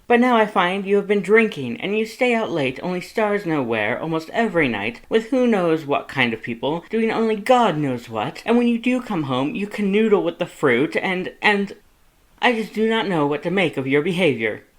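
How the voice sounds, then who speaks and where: A man voicing a loud, fussy skeleton who is the younger brother, scolding emphatically in a fan fiction audiobook reading. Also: sits high, sing-song, moderate pace, voice pushed up, rough recording. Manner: scolding, emphatic, rising indignation.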